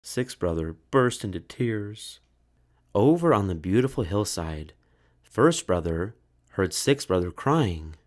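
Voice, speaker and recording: A man reading a children's story aloud in a measured, expressive way. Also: fairly low pitch. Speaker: storybook narrator.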